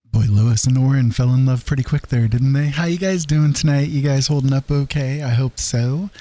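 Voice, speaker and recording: A man hosting a livestream, chatting casually in a friendly, rambling, relaxed way. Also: medium pitch, normal speed, no room sound. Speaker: livestream host.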